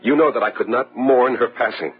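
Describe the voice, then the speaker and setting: A man's voice: mid-range voice, brisk, slightly rough, natural voice. A man playing a tormented, resentful husband, grave and overwrought, in an old gothic radio drama. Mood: bitter, brooding. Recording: dull vintage radio recording, music behind.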